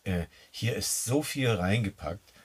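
A man co-hosting a camping vlog, chatting in a relaxed way from inside a camper van. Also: on the low side, a little hiss or hum. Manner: relaxed, talks in drawn-out asides with tag questions. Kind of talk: casual chat.

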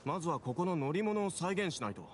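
A man voicing a brusque young railway caretaker in a Japanese anime game, speaking in a rough, casual, animated way. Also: on the high side, quick pace, a little hiss or hum. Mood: matter-of-fact. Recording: music behind the voice.

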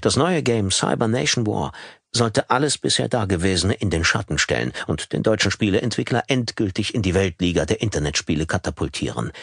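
Gravelly, husky male audiobook narrator. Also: fairly low pitch, no room sound, clean studio sound. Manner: calm, measured storytelling.

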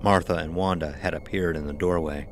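A man's voice: low-pitched, steady tone, fairly quick, slight background noise. A man reading a scripted horror story as its narrator. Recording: music behind the voice. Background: music.

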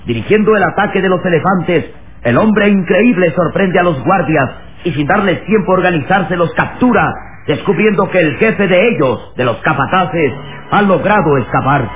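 Dramatic high-voiced radio serial narrator. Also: male voice, expressive, normal speed. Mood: dramatic narrating. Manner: dramatic, declamatory. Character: solemn dramatic male narrator of an adventure serial. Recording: tinny old radio recording with music.

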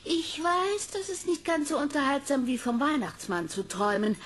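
A woman's voice: high voice, big pitch swings, moderate pace, slight background noise. A woman playing a stern, sober-minded psychologist in a radio play, lecturing in an admonishing way. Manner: admonishing, didactic.

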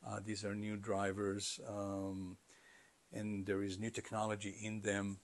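Low-pitched man, middle-aged, interviewee, halting delivery, conversational, explanatory. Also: completely flat delivery. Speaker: company founder being interviewed. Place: carpeted listening room, indoors.

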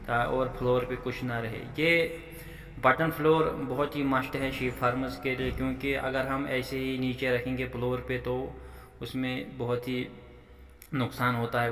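A man from Kashmir with a Kashmiri accent narrates an informal farming vlog in a halting, instructive way, repeating himself as he explains. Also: medium pitch, level tone, fairly bright tone. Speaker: sheep farmer and channel host. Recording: echoey, reverberant room sound.

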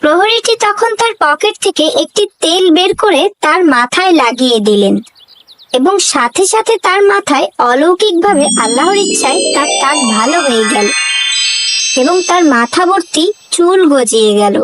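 A woman's voice: sits high, animated, normal speed, over music. A woman narrating a children's cartoon fable in a scripted storybook style.

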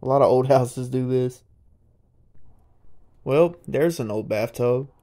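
A young man, narrating a casual, excited walkthrough of an abandoned house. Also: mid-range voice, slow. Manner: excited, marveling, chatty. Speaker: urban explorer vlogger. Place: inside an abandoned old house.

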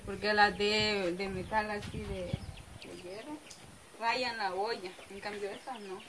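A young woman chatting lively while cooking in an outdoor rustic kitchen, recorded on a phone. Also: medium pitch, unhurried, a little hiss or hum. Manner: lively, explaining. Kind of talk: casual cooking chat. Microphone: handheld phone camera.

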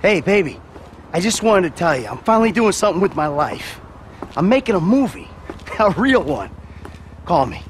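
An actor playing a weary, sarcastic middle-aged American career criminal in a cinematic video game. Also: male voice, sits high, sing-song, normal speed, long pauses, a little hiss or hum. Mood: pleased, sentimental.

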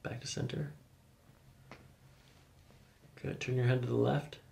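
A middle-aged man with a heavily creaky voice talks a patient through hands-on treatment. He gives short, reassuring cues in a calm ASMR manner, with faint noise behind him in a small office. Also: mid-range voice, quick pace. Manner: soothing, reassuring instructions in short phrases. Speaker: chiropractor. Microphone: microphones on boom stands near the table.

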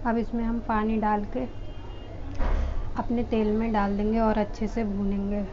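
A woman hosting a home cooking video, calmly explaining each step and addressing viewers as friends, recorded in her kitchen. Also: medium pitch, normal speed, muffled recording, noisy background. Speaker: home cooking channel host.

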